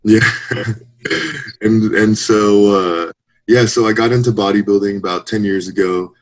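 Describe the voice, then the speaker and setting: A man in his late twenties talks openly as an interview guest, thinking out loud and laughing lightly between points. His voice sits a little low. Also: steady tone, measured pace, poor audio. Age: 20s. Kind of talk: casual interview chat.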